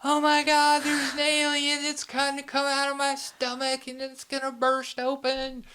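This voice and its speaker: A man's voice: extremely high pitch, monotone, unhurried, pushed and bright, slight background noise, voice pushed up, full character voice. A man voicing a frantic young woman, panicking during a hallucinogenic trip. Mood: panicked.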